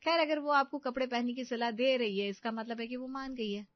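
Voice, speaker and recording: Woman playing a chirpy, caring young sister-in-law, high-pitched. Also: steady tone, a little fast, dry recording, lo-fi sound, natural voice. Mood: polite.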